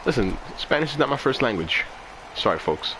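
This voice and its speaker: A man's voice: mid-range voice, very animated, fairly quick, background noise. A man chatting casually and joking while playing a baseball video game. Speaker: gameplay host. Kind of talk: casual gameplay commentary.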